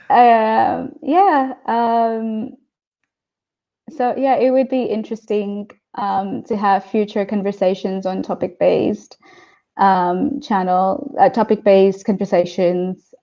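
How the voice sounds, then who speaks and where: A woman guest in a casual video-call chat, talking quickly and breaking into laughs as she jokes. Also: medium pitch, warm tone, lo-fi sound. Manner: chatty, joking, quick to laugh.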